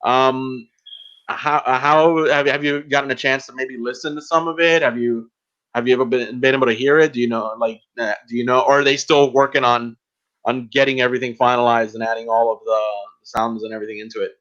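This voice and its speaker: A man hosting a casual podcast interview, rambling and halting as he works toward his questions. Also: mid-range voice, steady tone, a bit bright, muffled recording. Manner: halting, rambling, filler-heavy.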